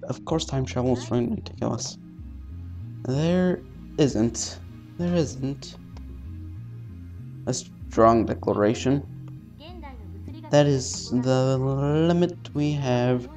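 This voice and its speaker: A man playing a visual novel, reading its text aloud haltingly with casual, sweary commentary. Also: medium pitch, darkish tone. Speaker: let's-player. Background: faint game music.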